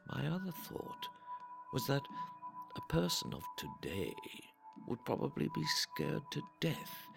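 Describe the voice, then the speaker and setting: Man, solemn story reader, slow pace, swooping intonation, pause-heavy phrasing. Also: mid-range voice, huge pitch swings, vocal fry, over music. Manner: deliberate, broken phrasing with long pauses. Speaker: narrator reading a translated story.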